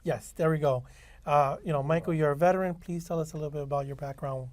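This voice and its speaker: A man hosting an interview, asking brisk, friendly questions. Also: a little high, steady tone, a little mellow, no room sound. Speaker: host.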